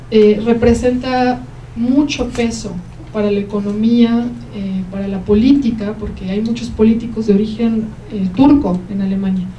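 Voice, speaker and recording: A woman with a Mexican Spanish accent giving a university lecture, explaining at length and pausing often with "eh" and "em" as she answers questions. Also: a little high, dark tone, highs cut off. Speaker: guest lecturer. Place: lecture hall. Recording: roomy hall, mic at a distance, faint noise.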